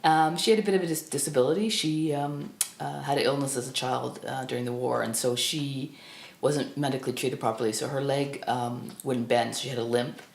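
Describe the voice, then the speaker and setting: A woman in her fifties from Canada, whose first language was Estonian, recalling her life in a reflective, halting way with many restarts. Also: very deep voice, steady tone, gravelly. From Burlington, Ontario, Canada. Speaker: film critic guest. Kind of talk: reflective personal storytelling. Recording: echoey room.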